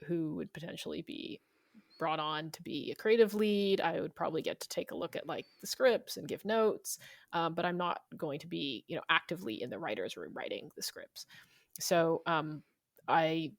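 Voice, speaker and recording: A woman's voice: low voice, moderate pace, gravelly, no room sound, studio quality. A woman giving informal, talkative interview answers, explaining things conversationally as a guest author. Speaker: interviewed novelist.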